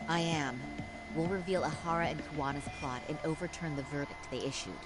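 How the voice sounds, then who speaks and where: A woman's voice: sits low, fairly quick, lightly acted. A woman playing a serious, composed lawyer in a video game, speaking formally and precisely. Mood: resolute. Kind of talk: English game dub dialogue. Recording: loud music behind.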